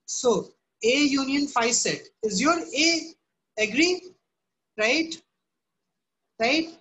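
A woman's voice: fairly high pitch, fairly bright tone, a little hiss or hum, low-quality recording. A woman teaching a live online math class, often asking "right?" and "okay?" to check on students. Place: home room.

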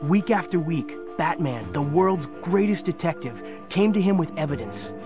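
An actor playing an earnest, youthful superhero sidekick, narrating a children's audio drama. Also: male voice, on the high side, lively intonation, normal speed, close to an everyday voice. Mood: earnest storytelling. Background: music.

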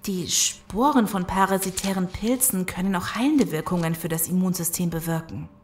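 A woman's voice: on the low side, moderate pace, a little hiss or hum, close to an everyday voice. A woman playing an earnest scientist in a German audio drama. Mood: serious. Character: earnest scientist woman explaining a zombie virus. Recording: echoey room sound.

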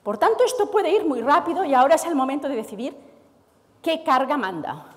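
A middle-aged woman giving an animated conference talk as a speaker from the energy administration, moving and gesturing on stage before an audience. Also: sits high, a little fast. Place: large hall with audience. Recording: reverberant hall.

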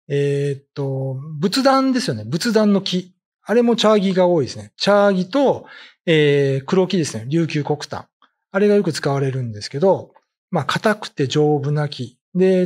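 A middle-aged man explains things informally as a storyteller and commentator. His voice is slightly husky and a bit high, with very lively intonation. He speaks conversationally, pausing often between phrases. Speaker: ghost story writer and commentator. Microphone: desk condenser mic with pop filter.

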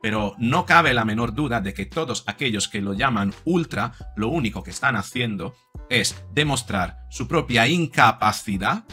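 A man's voice: medium pitch, animated, moderate pace, bright, forceful tone, slightly rough, soft background music. A man in his forties, a psychologist commenting to camera from a home studio, talking reflectively with fillers and restarts. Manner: reflective, with many fillers and repeats.

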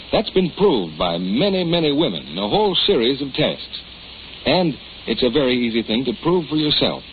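American guy reading a 40s radio commercial, very animated, bit of hiss. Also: medium pitch. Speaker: radio announcer. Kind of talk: scripted 1940s radio commercial. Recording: tinny, hissy 1940s radio recording.

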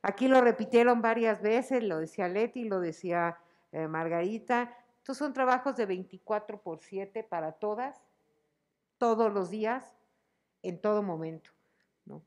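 A middle-aged woman with a Mexican Spanish accent, speaking unscripted at an indoor award ceremony into a handheld mic through a face mask, measured, with frequent fillers and restarts. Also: medium pitch, darkish tone. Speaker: human rights commission president. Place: indoor event stage. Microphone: handheld mic, masked.

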